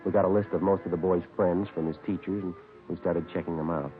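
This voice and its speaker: An American actor playing a terse, sober police sergeant who narrates his case in a deadpan, clipped way, in vintage radio crime drama style. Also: deep voice, fast talker, soft background music, lightly acted. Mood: matter-of-fact. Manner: deadpan, clipped, matter-of-fact. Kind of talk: 1940s-50s radio crime drama acting. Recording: muffled, noisy vintage radio recording.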